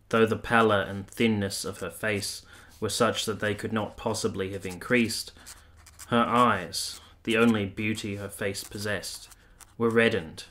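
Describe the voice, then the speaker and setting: A man acting a courteous, reserved young army captain in a radio play, speaking in a formal, measured, literary way. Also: fairly low pitch, fairly bright tone. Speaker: actor and narrator in a radio play. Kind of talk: literary first-person narration and radio drama dialogue.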